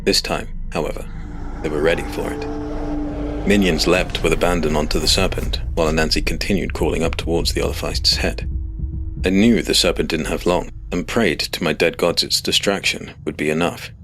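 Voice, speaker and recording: A man with an extremely low voice narrates a fantasy story in the first person. His reading is scripted, serious and dramatic, with music clearly playing behind him. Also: fairly even intonation, a little fast.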